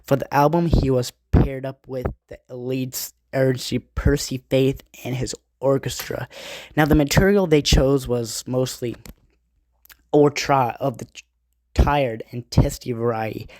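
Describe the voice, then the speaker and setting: A man's voice: medium pitch, steady tone, a little slow, darkish tone, no echo, poor audio. A man hosting a casual podcast, rambling through notes with asides and self-corrections, his nose stuffy from a cold. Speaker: podcast host. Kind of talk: casual podcast monologue reading album facts.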